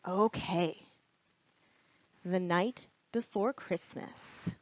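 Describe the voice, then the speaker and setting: A woman reading aloud at a children's storytime as a librarian, expressive and encouraging, speaking straight to young listeners. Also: on the low side, drawn-out words, no room sound. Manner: expressive, gasps and whispers for drama. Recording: dull, lo-fi sound.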